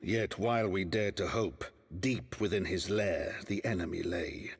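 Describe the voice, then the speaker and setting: An actor playing a solemn chronicler in a fantasy game, narrating in portentous, literary English with a husky voice. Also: male voice, low voice, measured pace, dry recording, studio quality, exaggerated voice. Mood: solemn, wistful. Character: solemn chronicler recounting a war's history. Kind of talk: dramatic scripted fantasy narration.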